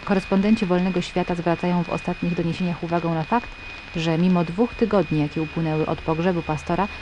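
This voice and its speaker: A woman's voice: fairly low pitch, fairly even intonation, fast, close to an everyday voice. A woman playing a solemn radio newsreader, reading a bulletin in a formal, serious manner. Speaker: radio news presenter. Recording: noisy, humming shortwave radio broadcast.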